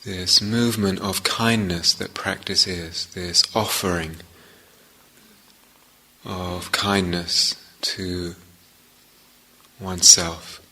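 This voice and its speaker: Slow, low-voiced man guiding meditation. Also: steady tone, poor audio. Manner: gentle, measured, with long pauses between short phrases. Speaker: meditation teacher. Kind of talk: guided meditation.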